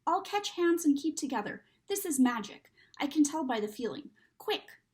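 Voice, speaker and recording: A woman voicing an excitable, bossy schoolboy with exclamatory, old-fashioned phrasing in a storybook. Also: high-pitched, normal speed, voice pushed up. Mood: urgent.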